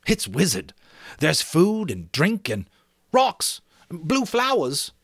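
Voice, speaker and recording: A man's voice: a little high, wildly swooping pitch, slow pace, fairly bright tone, slightly rough, dry recording, studio quality, voice pushed up, exaggerated voice. A man voicing a confident, cheerful schoolboy leader, speaking emphatically as if to a group in a dramatic audiobook reading. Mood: upbeat, rallying.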